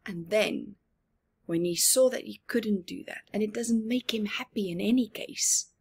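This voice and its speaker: A woman in her forties reading a picture book aloud to children at home, expressive and deliberate, with dramatic pauses and asides. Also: medium pitch, creaky, breathy edge. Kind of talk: expressive read-aloud with asides to young viewers. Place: small living room at home.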